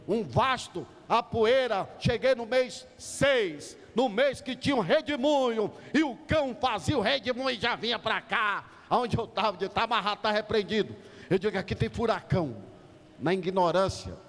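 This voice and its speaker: A middle-aged man from Salvador, preaching in church and calling out to the congregation. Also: sits high. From Salvador, Bahia, Brazil. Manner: emphatic, calls out to the congregation. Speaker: pastor. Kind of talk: preaching a sermon. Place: church hall. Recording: church hall reverb.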